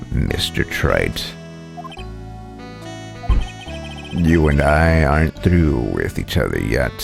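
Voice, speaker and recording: A man's voice: very deep voice, gravelly, pitched below the performer's own voice, exaggerated voice. A man voicing a smug, menacing rival prosecutor in a video game, with a drawling, taunting manner.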